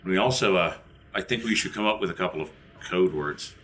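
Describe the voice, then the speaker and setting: A man's voice: low voice, brisk, a bit bright, a little hiss or hum. A man playing a tabletop roleplaying game, chatting casually as he plans in character.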